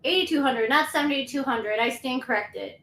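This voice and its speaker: Bright high-voiced woman, chatty commentary. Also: a little fast. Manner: chatty, explaining step by step. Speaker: commentary host. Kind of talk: casual commentary. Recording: roomy echo.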